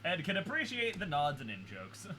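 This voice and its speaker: A man's voice: on the high side, huge pitch swings, normal speed, bright, forceful tone, low-quality recording. A man chatting casually as a guest reactor, explaining things as a fan. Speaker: guest reactor who knows the franchise.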